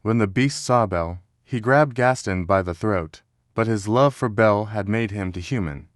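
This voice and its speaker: Low-voiced male storybook narrator. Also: normal speed, dry recording, clean studio sound. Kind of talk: scripted children's story narration.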